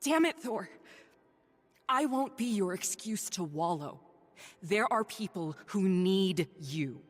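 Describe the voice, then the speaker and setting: An actress playing an ailing, stubborn woman doctor turned hero in a video game drama, speaking in a halting, confiding but resolute way. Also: medium pitch, animated, in a large hall. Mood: angry, urgent. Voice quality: husky, breathy, creaky. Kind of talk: dramatic video game acting, audio log monologue.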